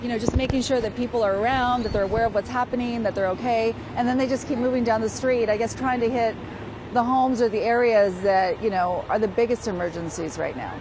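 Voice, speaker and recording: Woman reporting live over clear street noise. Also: on the high side, fairly quick. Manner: conversational, frequent "you know". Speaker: field reporter. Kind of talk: unscripted live breaking-news report. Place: outdoors on a residential street at an emergency scene.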